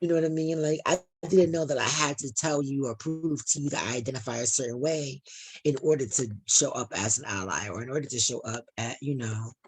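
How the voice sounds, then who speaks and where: A woman with a deep voice, a participant sharing a personal experience in a group discussion, talking in a thoughtful, searching way with many restarts. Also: darkish tone, a little hiss or hum, low-quality recording. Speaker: workshop participant.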